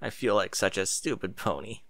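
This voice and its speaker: A man's voice: fairly low pitch, animated, moderate pace, fairly bright tone, no room sound, voice pushed down. A man voicing a young male pony student who is anxious, self-doubting and downcast, wordy in a panicky way. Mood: anxious, confused.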